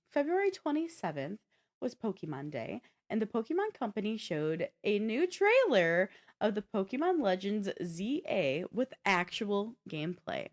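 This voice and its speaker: A woman presents tech and gaming news as a co-host. Her delivery is animated, with lively swings in pitch at a middle register. She talks conversationally, mixing news items with off-the-cuff chat.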